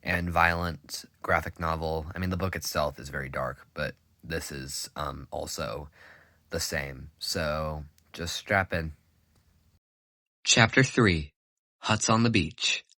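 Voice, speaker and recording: Brief: male read-aloud narrator, quiet steady delivery. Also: very deep voice, slow, no echo, studio quality. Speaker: reader. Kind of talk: reading a graphic novel aloud.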